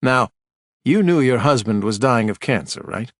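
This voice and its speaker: A man's voice: medium pitch, lively intonation, normal speed, no room sound, clean studio sound, close to an everyday voice. A man voicing a blunt, plainspoken man who presses a witness with folksy, dogged questions.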